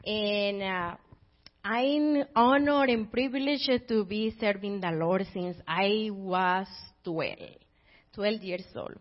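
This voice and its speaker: A Colombian woman in her thirties with a Colombian Spanish accent, speaking as a missionary at church, halting with fillers and laughing. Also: mid-range voice, lo-fi sound. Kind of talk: church testimony.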